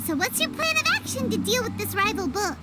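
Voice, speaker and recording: A woman voicing a tiny, excitable, childlike fairy companion in a video game, with a squeaky, exclamatory delivery. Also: brisk, very noisy. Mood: eager. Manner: exclamatory, refers to self by name. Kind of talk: cartoonish scripted game dialogue. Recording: game music behind the voice.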